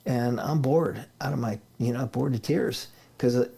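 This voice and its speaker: Mellow-voiced middle-aged man telling stories. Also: mid-range voice, normal speed, vocal fry, slightly rough. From Idaho, United States. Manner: rambling storyteller, chuckles often, restarts sentences. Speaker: interview guest, attorney. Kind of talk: casual conversational interview.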